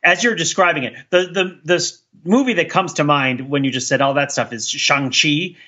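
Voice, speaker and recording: A man co-hosting a podcast, talking casually and thinking aloud in halting, animated bursts. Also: a little high, highs cut off. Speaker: podcast co-host. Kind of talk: casual discussion of TV and film.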